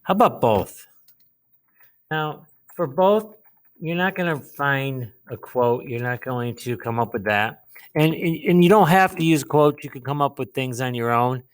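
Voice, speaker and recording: A man teaching a lesson, explaining a writing task step by step, with pauses and repeated phrases. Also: mid-range voice, lively intonation, clean tone. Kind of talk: instructional lesson, modelling a writing task aloud.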